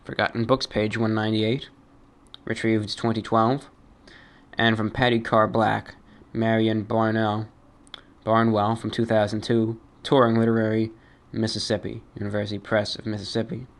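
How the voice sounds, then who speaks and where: A man with a fairly low voice and very little rise or fall in pitch. He hosts a listener mail show, speaking unscripted and reading aloud. His delivery is deliberate and measured, with slightly formal wording. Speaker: host of a fan mail radio show.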